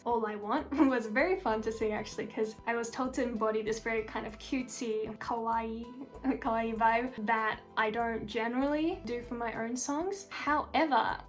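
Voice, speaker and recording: A woman's voice: a little high, steady tone, normal speed, faint music behind. A young woman with an Australian accent, a singer answering interview questions in a casual, upbeat, chatty way. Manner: chatty, upbeat, frequent "like" and "kind of".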